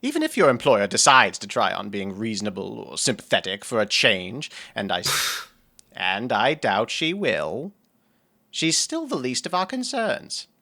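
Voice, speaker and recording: A refined, nerdy male healer devoted to his lover in an occult audio drama. His voice is bright and highly animated, with a mid pitch and playful, formal phrasing. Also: a little slow, gravelly, heavily acted. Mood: wry, concerned. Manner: genteel, playful, formal wording.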